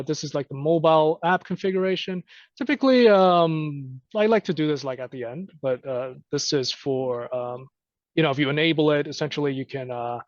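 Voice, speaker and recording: Higher-voiced man, halting tech trainer, muffled audio. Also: dry recording. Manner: halting, conversational, checks in often. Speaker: technical trainer. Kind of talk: informal screen-share software walkthrough.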